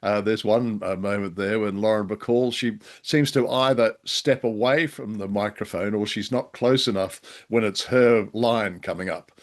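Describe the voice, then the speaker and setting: A man co-hosting a casual podcast discussion, speaking in a halting, hesitant way with wry, self-deprecating humour. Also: lowish voice. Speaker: podcast co-host and freelance writer. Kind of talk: casual conversational discussion of old radio drama.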